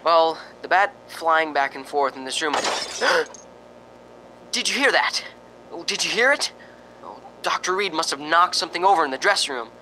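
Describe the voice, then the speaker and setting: A man's voice: fairly high pitch, animated, unhurried, noisy background. A man playing a nervous, lonely radio reporter in a spooky radio drama, stammering and rambling with sighing ohs. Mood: frightened. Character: jittery, lonely radio reporter broadcasting from a haunted house.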